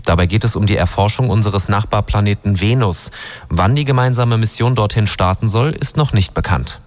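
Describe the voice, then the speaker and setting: A man's voice: on the low side, fairly quick, faint music behind. A man reading a news bulletin on the radio as the station's newsreader.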